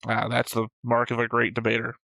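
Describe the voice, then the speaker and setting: Crisp gravelly man debating casually. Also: medium pitch, completely flat delivery, a little fast, bright, forceful tone, dry recording. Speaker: reaction show host. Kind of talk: casual debate about an animated series.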